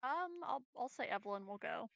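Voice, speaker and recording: A woman's voice: sits high, expressive, moderate pace, gravelly, a bit bright, dry recording, muffled recording. A woman talking casually as a player in a tabletop roleplaying game.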